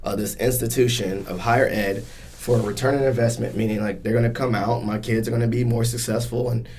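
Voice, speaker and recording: A man's voice: medium pitch, steady tone, brisk, darkish tone, a little hiss or hum. A man hosting a podcast in a casual, conversational interview style, speaking colloquially and encouragingly. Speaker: podcast host.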